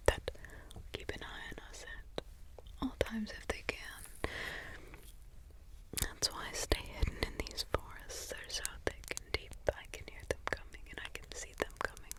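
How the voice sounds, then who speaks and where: A woman's voice: medium pitch, poor audio. A woman whispering in ASMR roleplay as a weary, wry witch mother hiding in the forest. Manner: unvoiced whisper, slow and drawn-out. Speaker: ASMR creator.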